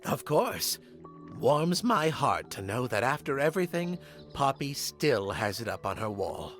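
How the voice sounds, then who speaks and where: A genteel, sentimental older gentleman in a mystery game. His voice is mid-pitched and very expressive in its rise and fall. His speech is formal, with faint music behind. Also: a little slow. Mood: fond, wistful. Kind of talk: video game voice acting.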